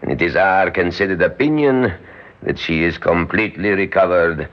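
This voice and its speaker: An actor in an old-time radio drama playing a calculating male conspirator who speaks in a measured, formal, deliberate way. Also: low voice, lightly acted. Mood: coolly conspiratorial. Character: smooth-talking, calculating male conspirator, secretly an examining official. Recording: dull, muffled old radio recording.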